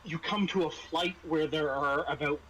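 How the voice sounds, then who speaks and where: A man's voice: a little high, moderate pace, fairly bright tone, a little hiss or hum, poor audio. A man narrating a tabletop horror game as game master, in a conversational, descriptive storytelling way.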